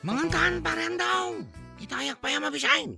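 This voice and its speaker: A man's voice: extremely high pitch, normal speed, gravelly, over loud music, exaggerated voice. A man with an Ilocano accent playing a teasing, concerned drinking pal in a comedy drama, exclaiming and chiding.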